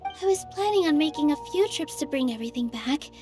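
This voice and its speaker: A gentle young theater dancer with a fairly high, soft-spoken voice. She is polite, modest and a little apologetic. Faint game music plays underneath. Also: animated, a little fast. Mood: sheepish. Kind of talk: video game voice acting.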